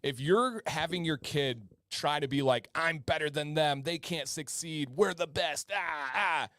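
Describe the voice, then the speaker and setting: A man's voice: fairly high pitch, a little slow, a bit bright, no echo, studio quality. A man in his thirties hosting a live-stream chat show, chatty and prone to repeating words and restarting sentences. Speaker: host.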